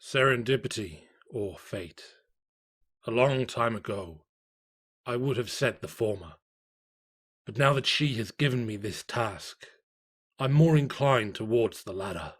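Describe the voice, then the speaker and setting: A man's voice: medium pitch, expressive, measured pace, pauses often. A man playing a weary, plain-spoken carpenter, narrating his diary in blunt, musing phrases for a horror story. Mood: weary, rueful.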